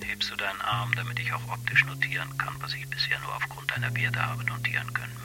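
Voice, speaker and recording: An actor playing a flattering male memory-assistant machine in a radio play, whispering softly and coaxingly. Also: fast, music in the background, lightly acted. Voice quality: whispery, low and gravelly.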